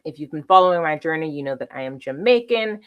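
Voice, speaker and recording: Low-voiced chatty woman artist host. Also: animated, quick pace, smooth, muffled recording. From South Florida. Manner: chatty, personal, checks in with the listener often. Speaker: artist hosting a how-to series. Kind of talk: casual talk to camera.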